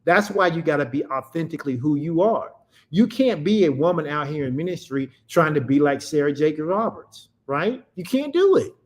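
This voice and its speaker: A middle-aged male preacher with a fairly high-pitched voice delivers a motivational message. He talks emphatically, repeating his points in a rhythmic way and answering his own questions. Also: lively intonation, normal speed. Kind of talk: motivational sermon.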